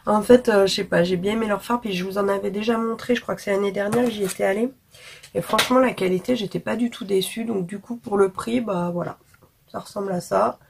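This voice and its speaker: A woman vlogger, hoarse from being sick, chatting frankly and casually about cheap gadgets and makeup to her viewers with many fillers. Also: medium pitch, steady tone, quick pace, a little hiss or hum. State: hoarse from illness. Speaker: beauty vlogger. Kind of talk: casual haul chat to camera.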